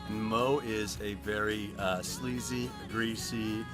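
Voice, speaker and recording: A man, an actor, giving a casual, halting introduction. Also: lowish voice, steady tone. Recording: guitar music behind the voice. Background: music.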